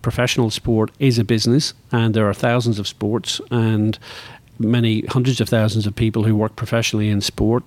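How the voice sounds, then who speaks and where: A man's voice: mid-range voice, a little mellow, dry recording. Northern Irish man of sixty, reflective. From Northern Ireland. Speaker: interview guest, motor racing executive. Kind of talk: reflective conversational interview.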